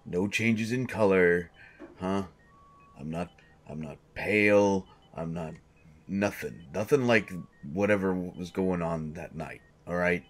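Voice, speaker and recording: A man's voice: sits low, full character voice. A man playing a grumpy, irritable coach who grumbles and complains defensively in an audio drama. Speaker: voice actor.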